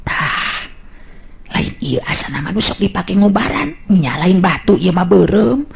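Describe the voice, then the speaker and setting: A man's voice: high-pitched, sing-song, unhurried, vocal fry, slightly rough, pitched above the performer's own voice, full character voice. A man voicing a kindly, anxious older village woman in a Sundanese radio story, muttering hesitantly with repeated words. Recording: dull lo-fi radio-style recording.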